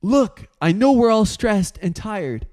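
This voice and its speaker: A man voicing a steady male peacemaker calming a tense group in an audiobook reading. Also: sits high, takes it slowly, dry recording, studio quality, pitched above the performer's own voice. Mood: placating.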